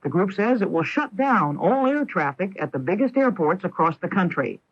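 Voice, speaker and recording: News anchor, scripted delivery, medium pitch, dull lo-fi old radio recording. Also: sing-song, normal speed, husky. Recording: dull lo-fi 1980s radio broadcast.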